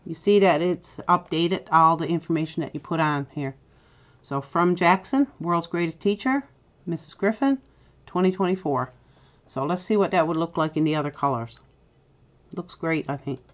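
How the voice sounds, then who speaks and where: A middle-aged woman with a Newfoundland accent, chatty and step-by-step as she hosts a software tutorial. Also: sits low, moderate pace. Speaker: tutorial host. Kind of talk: screen-recorded software tutorial.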